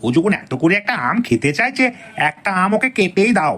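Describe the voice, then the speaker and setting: A man's voice: sits high, very animated, fairly quick, sharp tone, heavily acted. A man voicing an indulgent grandfather who reasons and remonstrates in a Bengali comedy cartoon. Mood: reasoning.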